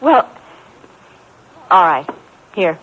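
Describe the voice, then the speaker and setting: Husky, nervous young suspect. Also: female voice, fairly low pitch, sing-song, very slow, phone-quality sound, full character voice. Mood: guarded. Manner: hesitant, guarded. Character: defensive, nervous young female murder suspect. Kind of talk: 1950s radio melodrama acting. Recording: vintage 1950s radio broadcast.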